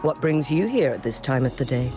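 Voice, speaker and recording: An actress playing a dignified, shrewd lady of authority in a video game, formal, deliberate and inquisitive. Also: very deep voice, expressive, over music. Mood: curious, pondering.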